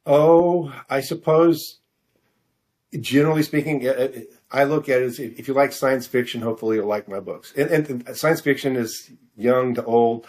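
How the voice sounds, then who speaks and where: An older man answering interview questions as a guest author, speaking in a hesitant, halting, rambling way. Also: medium pitch, darkish tone. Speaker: novelist guest being interviewed.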